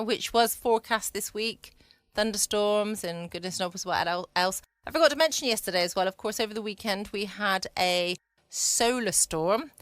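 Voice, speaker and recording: A woman's voice: medium pitch, a bit bright, clean tone, no echo. A woman hosting a bedtime podcast in a chatty, rambling, understated way. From United Kingdom. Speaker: podcast host. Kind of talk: casual bedtime chat. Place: at home, close and dry.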